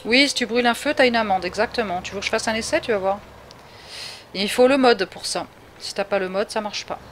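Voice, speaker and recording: A woman's voice: medium pitch, fairly quick, fairly bright tone, a little hiss or hum. A woman from Paris with a Parisian French accent, chatting casually through a live game stream and answering viewers. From Paris, France. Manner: chatty, answers chat viewers, laughs often. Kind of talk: casual live gameplay commentary.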